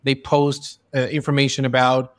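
A man's voice: medium pitch, completely flat delivery, moderate pace, no echo. A man hosting a podcast interview, talking earnestly in long, flowing sentences with frequent restarts. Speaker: podcast host. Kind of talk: conversational interview. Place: in Spain.

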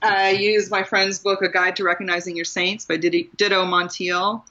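A woman's voice: fairly low pitch, level tone, moderate pace, sharp tone, lo-fi sound. An American woman talking casually and reflectively as the guest in an interview about her own experience. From Maryland, US. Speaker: guest author and writing teacher.

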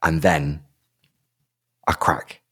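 A man narrating a tabletop role-playing game as game master, in slow, dramatic descriptive passages. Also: very deep voice, little pitch movement, fairly bright tone, breathy edge.

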